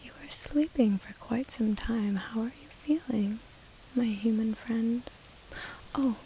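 Young woman doing a gentle curious forest nymph for asmr, slow soft whisper right up close, bit of hiss. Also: medium pitch, lightly acted, rough recording. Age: 20s. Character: curious, gentle female wood nymph, ancient and kindly. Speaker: ASMR roleplayer. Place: outdoors in woodland. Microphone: close to the microphone.

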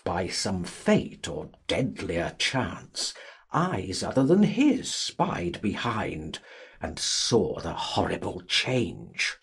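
A man narrates classic fiction with theatrical care. His voice is very husky and his pitch moves dramatically up and down. He reads slowly and in a measured way. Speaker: audiobook narrator. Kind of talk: dramatic literary audiobook narration.

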